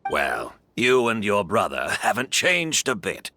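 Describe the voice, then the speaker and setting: A man's voice: on the low side, huge pitch swings, unhurried, pushed and bright, a little hiss or hum, heavily acted. An actor playing a kindly, humble older male perfumer and mentor in a video game, modest and thoughtful. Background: game background music.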